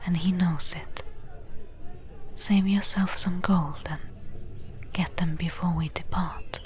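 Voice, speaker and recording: A seasoned female adventurer, played by a woman, whispers practical advice in a fantasy ASMR roleplay. Her voice is breathy and a little low. She takes her time over each phrase, gentle and caring, like someone readying a companion for the desert. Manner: gentle, unhurried, advising.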